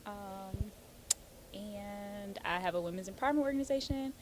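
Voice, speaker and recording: A woman's voice: medium pitch, moderate pace, noisy background. A woman from Houston, chatting as an interview guest with lots of "um" and "like". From Houston, Texas. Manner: chatty, uses many fillers like "um" and "like". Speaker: guest, attorney and author. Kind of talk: casual interview answers.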